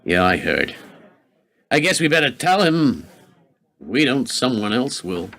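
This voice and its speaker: Animated, sardonic actor as male political aide. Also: medium pitch, measured pace. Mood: dry, teasing. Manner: sarcastic, grumbling. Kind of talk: radio drama dialogue.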